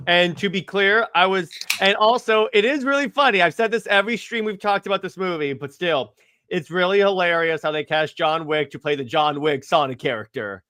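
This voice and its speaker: A man with a high-set, bright voice hosts a casual film chat. He speaks emphatically and with excitement, in an informal conversational style. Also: fairly quick, smooth. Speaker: podcast host. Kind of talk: casual movie discussion.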